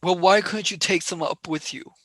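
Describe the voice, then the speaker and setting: A man's voice: high voice, fairly even intonation, moderate pace, fairly bright tone, no room sound. A man voicing a blunt, skeptical young male space pilot who argues casually in a radio play. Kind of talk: amateur radio play acting.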